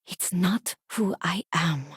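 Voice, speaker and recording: An actress playing an earnest, loyal young woman who crews and guns on a spaceship in a video game, speaking conversationally and clearly. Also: lowish voice, slow, no echo, studio quality, exaggerated voice. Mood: earnest conviction. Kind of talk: video game companion voice lines.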